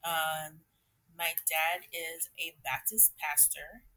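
A young adult woman from Trinidad and Jamaica, a podcast guest who tells her story in a relaxed, reflective way with frequent fillers and laughs. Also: sits low, takes it slowly, low-quality recording. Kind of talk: conversational interview storytelling.

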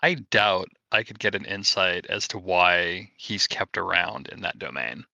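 Gravelly, very sharp-voiced man chatting. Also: low voice, measured pace, no echo, muffled recording. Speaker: tabletop game player. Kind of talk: casual discussion.

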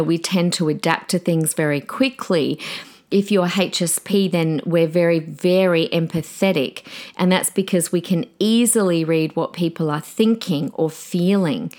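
A middle-aged woman hosting a podcast in a chatty, excitable way, often calling her listeners guys, her voice slightly croaky. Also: on the low side. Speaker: podcast host; preacher. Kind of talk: casual podcast monologue.

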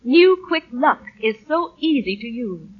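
Animated woman in vintage radio ad. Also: a little high, measured pace, breathy edge. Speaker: commercial spokeswoman. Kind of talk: scripted 1940s radio ad. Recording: dull old 1940 radio recording.

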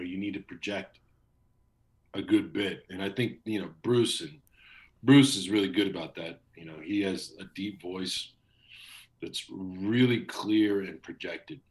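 A man in his thirties, a voice actor, chatting casually in a relaxed, rambling way over a video call. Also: deep voice, measured pace. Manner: relaxed, rambling, many fillers and restarts. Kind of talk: casual interview chat.

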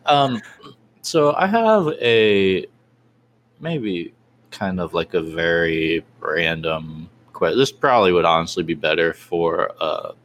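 Podcast co-host, man, low voice, animated, teasing and laughing. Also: slow pace, slight background noise. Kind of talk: casual podcast chat.

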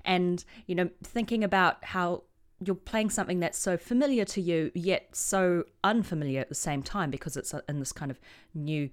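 A woman's voice: lowish voice, normal speed. A woman hosting an interview, conversational and engaged as she asks her questions. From New Zealand. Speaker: podcast host.

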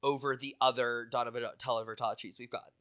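A man co-hosting a podcast, chatting casually and acting out quotes with quick quips. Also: medium pitch. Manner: animated, acts out quotes, quips. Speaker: podcast co-host. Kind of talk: casual chat.

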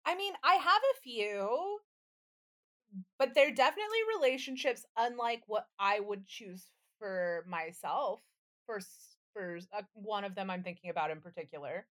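A woman's voice: medium pitch, lively intonation, normal speed, fairly bright tone. A woman co-hosting a podcast in casual banter, with a wry, quippy way of talking. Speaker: podcast co-host.

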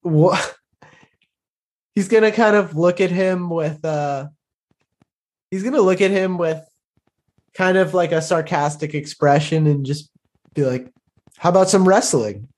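A man playing a tabletop roleplaying game, casually describing his character's actions in a halting, hesitant way. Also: fairly high pitch. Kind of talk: casual improvised roleplay narration.

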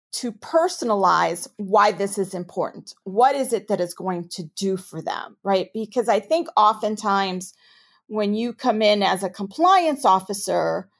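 A woman from New York with a New York accent, answering interview questions as a compliance officer in a measured, explanatory way. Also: mid-range voice. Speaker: chief ethics and compliance officer.

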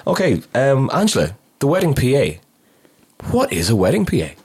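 A man's voice: medium pitch, huge pitch swings, normal speed, darkish tone, slightly rough. A man hosting a podcast, upbeat and chatty as he introduces guests and asks questions. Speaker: podcast host. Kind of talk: casual podcast chat.

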